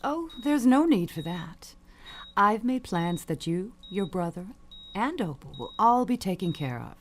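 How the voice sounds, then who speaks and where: A woman's voice: mid-range voice, sing-song, measured pace, a little hiss or hum. An actress playing an elderly, dying perfume mogul, an imperious and cutting mother with genteel, falsely sweet manners. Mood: sweetly controlling. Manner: genteel, commanding, condescending endearments. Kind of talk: audio suspense drama acting.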